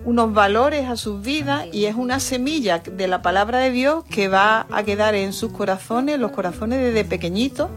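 A woman's voice: fairly high pitch, normal speed, few pauses, soft background music. A woman, a religion teacher, explaining things earnestly and steadily on a panel talk show.

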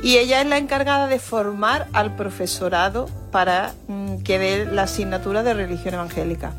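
A woman's voice: mid-range voice, normal speed. A woman explaining things as a teacher in an informal interview. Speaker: religion teacher. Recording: music playing behind the voice. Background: background music.

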